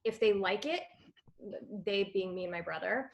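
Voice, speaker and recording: A woman's voice: mid-range voice, normal speed, vocal fry, highs cut off. Chatty woman telling stories with laughs. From Canada, Montreal. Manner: chatty, laughs often, animated storytelling. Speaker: guest actress. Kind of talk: casual interview chat.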